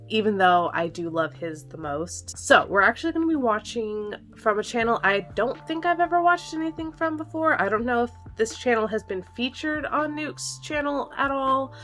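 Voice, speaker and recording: Casting: young woman, bubbly vlog host, slight cold-nasal tone, light music underneath. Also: medium pitch. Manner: bubbly, excitable, frequent "like" and gasps. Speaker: ghost video reaction host. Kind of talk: casual chatty reaction vlog.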